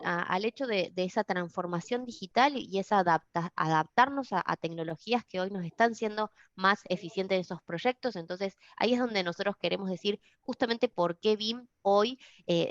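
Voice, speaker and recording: A woman's voice: medium pitch, no room sound, dull audio. A woman from Argentina giving a webinar presentation, speaking with many fillers and restarts. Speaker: software company presenter.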